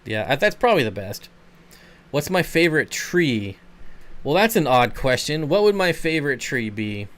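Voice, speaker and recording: A man's voice: on the high side, sing-song, normal speed, fairly bright tone. A man hosting a casual livestream, answering viewer comments in a laid-back, rambling way and chuckling often. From Michigan. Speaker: channel host.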